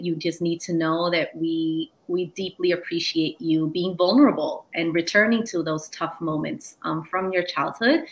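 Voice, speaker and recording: A woman's voice: fairly low pitch, creaky, fairly bright tone, rough recording. A woman moderating a virtual book club, enthusiastic and playful, thanking guests and calling on students over a video call. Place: room with bookshelves, video call. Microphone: webcam or laptop mic on video call.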